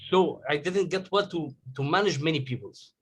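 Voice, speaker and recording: Halting Egyptian man on a call. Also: a little high, slightly rough. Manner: halting, searching for words. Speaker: agency owner sharing story. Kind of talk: casual group call.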